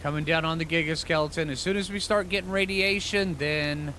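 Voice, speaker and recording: A man's voice: a little high, steady tone, a little fast, sharp tone, slight background noise. A man giving casual gameplay commentary, thinking aloud in informal, slangy phrases with rambling asides. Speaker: gaming channel host.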